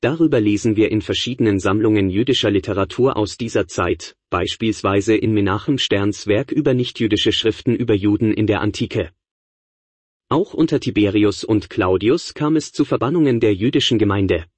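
A man giving a measured, explanatory lecture on early church history. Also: fairly low pitch, darkish tone, husky, no room sound.

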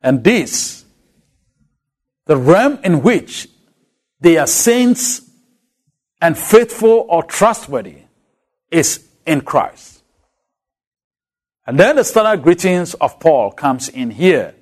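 A man with an African accent preaches slowly and deliberately. His voice sits high and swings widely. He leans on repeated words for emphasis. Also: big pitch swings, a little mellow. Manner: emphatic, repeats key words, slows on phrases. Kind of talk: expository sermon.

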